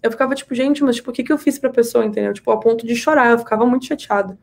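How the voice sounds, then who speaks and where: Woman from south brazil, talks really fast, kinda high voice, chatty podcast guest, emphatic. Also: creaky. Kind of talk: casual, animated personal storytelling in conversation.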